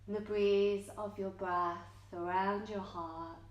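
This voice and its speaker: A woman teaching yoga nidra, leading a guided meditation gently in short, measured phrases with long pauses. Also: mid-range voice, steady tone, lo-fi sound. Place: indoor yoga studio, live class. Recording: roomy, echoing yoga studio.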